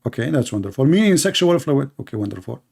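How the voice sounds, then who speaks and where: A man's voice: mid-range voice, sing-song, fast talker, gravelly, a little mellow, slightly rough. A man whose first language is Arabic, hosting a live online debate in English with mocking, sarcastic emphasis. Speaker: debate show host.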